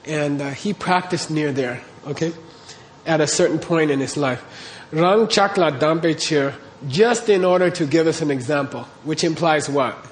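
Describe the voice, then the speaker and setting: Lecturing man with a higher voice. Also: normal speed, a little hiss or hum. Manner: explains terms step by step, checks in with "okay?". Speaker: teacher. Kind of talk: classroom lecture on Tibetan texts.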